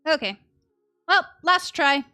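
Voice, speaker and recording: High, bright-voiced woman streamer. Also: expressive, slow pace. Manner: playful, mutters little sound words to self. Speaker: game streamer. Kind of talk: casual livestream gameplay chat. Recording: music playing behind the voice. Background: music.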